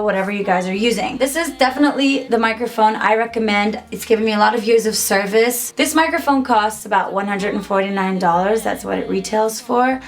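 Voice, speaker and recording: A young woman giving a casual, upbeat tutorial to camera from a small home music studio, chatty and full of "like". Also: medium pitch. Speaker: musician and YouTuber.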